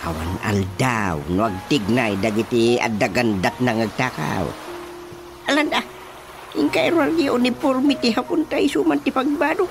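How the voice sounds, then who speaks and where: A man's voice: mid-range voice, sing-song, normal speed, gravelly. An actor with an Ilocano accent playing a scheming village chairman in a radio drama comedy. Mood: bossy. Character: village chairman, lively scheming male official. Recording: music behind the dialogue.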